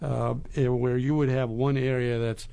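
A man in his fifties from Lebanon, answering interview questions in a halting, measured way. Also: mid-range voice, level tone, gravelly, mellow, smooth. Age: 50s. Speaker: interview guest, business executive.

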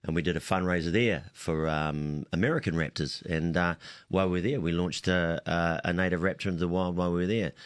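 A man's voice: low-pitched, steady tone, brisk, few pauses, clean tone, no echo, studio quality. A man with a New Zealand accent, a winemaker guest chatting on a talk radio show, rambling and repeating himself as he talks. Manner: rambling, repeats words, laughs easily. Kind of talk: casual talk radio chat.

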